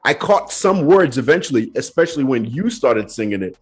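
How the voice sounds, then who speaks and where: A man with a Nigerian accent hosts a casual podcast chat, his voice husky and a little breathy. He tells his stories with energy and puts on the voices of the people he quotes. Soft music plays faintly behind him. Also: medium pitch, fairly quick. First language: Yoruba. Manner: animated storyteller, acts out quotes. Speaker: podcast host.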